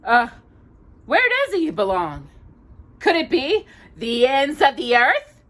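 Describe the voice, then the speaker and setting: A woman voicing a mocking parrot, a villain's sidekick in a storybook, loud and taunting. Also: sits high, huge pitch swings, takes it slowly, pitched above the performer's own voice, heavily acted.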